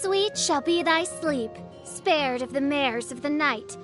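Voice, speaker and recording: Video game role: teenage would-be princess, high-pitched, haughty, grandiloquent and melodramatic, music underneath. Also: female voice, lively intonation, takes it slowly. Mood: regal, boastful. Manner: pompous, grandiose, archaic diction. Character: theatrical teenage girl posing as imperious otherworldly princess. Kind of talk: video game character voice acting.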